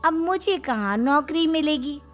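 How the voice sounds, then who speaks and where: Woman as a high-voiced, helpless young wife. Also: animated, measured pace, soft background music. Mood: worried. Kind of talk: moral-story cartoon voice-over.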